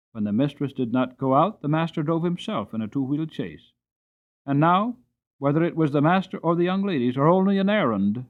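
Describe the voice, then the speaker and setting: A man narrating an audiobook in a dramatic storytelling style, with expressive, theatrical emphasis. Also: medium pitch, narrow, tinny audio.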